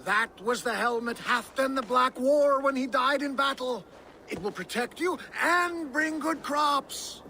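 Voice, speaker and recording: A man's voice: extremely high pitch, unhurried, sharp tone, a little hiss or hum, heavily acted. An actor playing a sly, cowardly male merchant in a video game cutscene, with a wheedling, pleading salesman's patter. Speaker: merchant character.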